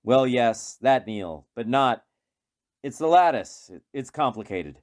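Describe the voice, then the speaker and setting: A man's voice: mid-range voice, animated, unhurried, darkish tone. A man reading scripted narration for a radio play in a dramatic storytelling manner.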